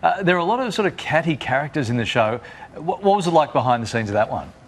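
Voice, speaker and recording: A man's voice: medium pitch, lively intonation, fast talker. Jovial male morning host. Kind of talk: breakfast TV interview.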